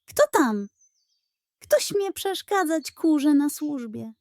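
A woman voicing a proud, gullible, excitable hen who clucks eagerly in a children's fairy tale. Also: high voice, slow pace, dry recording, studio quality, pitched above the performer's own voice, full character voice. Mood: startled, indignant.

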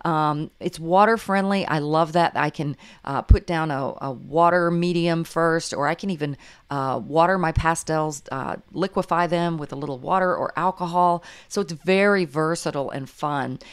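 An older woman explains her painting steps in a low, hoarse, slightly raspy voice. She speaks gently and encouragingly, wandering through asides with frequent ums and uhs, like a patient art teacher. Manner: gentle, encouraging, meandering with fillers. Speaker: art instructor. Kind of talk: painting tutorial voice-over.

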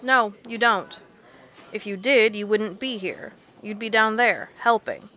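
An actress playing a blunt, stubborn researcher in an audio drama. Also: female voice, medium pitch, measured pace, pushed and bright. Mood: firm, defiant. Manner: blunt, firm. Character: blunt, stubborn woman researcher, fed up and resolute.

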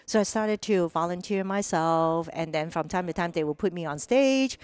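A middle-aged woman from Hong Kong with a Hong Kong accent, telling stories as a podcast guest on a headset mic, animated and lively, often asking "right?". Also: on the low side, normal speed, smooth. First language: Cantonese. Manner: animated, acts out quoted voices, frequent "right?". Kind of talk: casual interview storytelling. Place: sunny room with large windows.

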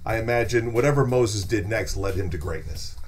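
A man's voice: fairly low pitch, fairly quick, slight background noise, lightly acted. A middle-aged man playing a wry podcast host who delivers scripted, sardonic quips in short punchy interjections. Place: small indoor podcast studio. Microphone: close studio microphone on a stand.

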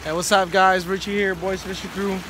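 A man's voice: high-pitched, little pitch movement, a little fast. A man in his thirties hosting a casual fishing vlog outdoors on a rocky lagoon shore, talking into a phone camera held at arm's length and filling gaps with "um" and "uh". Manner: chatty, many fillers and restarts. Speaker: fishing vlogger. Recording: outdoor wind and water noise.

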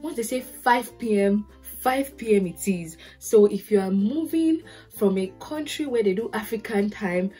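Nigerian accent young woman chatting to camera, warm mellow tone, tells stories n acts out what people said, faint music under. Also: mid-range voice, unhurried, slightly rough. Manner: lively storytelling, acts out quotes. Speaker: podcast host, lawyer. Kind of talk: casual advice talk to camera. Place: bedroom with curtains, indoors.

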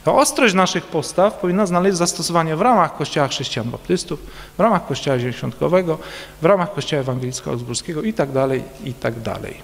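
A man with a fairly high voice delivers a formal, measured lecture from a lectern, filling pauses with soft hesitations. He is picked up by a distant camera, and the large church hall gives his voice a long, lingering reverb. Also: vocal fry. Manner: measured, formal, hesitant fillers between phrases. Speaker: conference speaker. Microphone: standing at a lectern, recorded by a distant camera.

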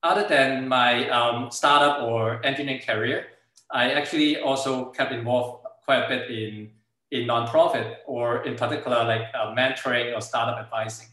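A man's voice: medium pitch, fairly even intonation, moderate pace, pushed and bright, very echoey, rough recording. A middle-aged man from Hong Kong, a native Cantonese speaker with a Hong Kong accent, giving an informal startup presentation over a video call. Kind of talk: informal slide presentation with product demo. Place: indoor room, on a video call.

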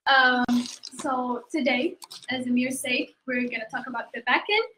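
A woman's voice: sits high, steady tone, moderate pace, pushed and bright, slight background noise, rough recording. A woman from Ethiopia, a junior developer, presenting a backend project on a video call in a fluent, organized way. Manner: fluent, organized, a few ums. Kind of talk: online presentation talk.

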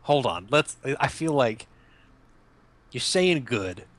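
A man's voice: medium pitch, big pitch swings, slow, fairly bright tone, dry recording. A young man co-hosting a podcast, rambling through crude jokes with many restarts in casual banter. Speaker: podcast co-host.

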